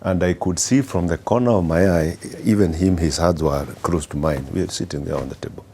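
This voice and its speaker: A middle-aged man from Kenya with a Kenyan accent, guest on an interview podcast, telling anecdotes slowly with many fillers and restarts. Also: low voice, warm tone, slight background noise. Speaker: guest executive.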